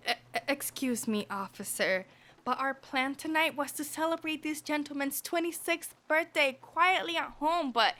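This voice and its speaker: A woman playing an excitable, defensive, tipsy assistant dissector in a radio play. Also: high voice, normal speed. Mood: indignant, explaining.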